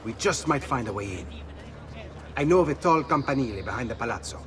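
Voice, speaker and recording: An actor playing an earnest, idealistic Venetian thief leader with an Italian accent, speaking rhetorically in a video game. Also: male voice, medium pitch, lively intonation, brisk, a bit bright, natural voice. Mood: passionate. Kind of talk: dramatic video game acting.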